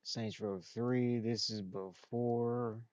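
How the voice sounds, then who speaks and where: A man's voice: mid-range voice, steady tone, slow pace, muffled recording. A young man streaming a game, chatting casually, rambling, talking to himself, and swearing often. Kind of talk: casual gaming stream chat.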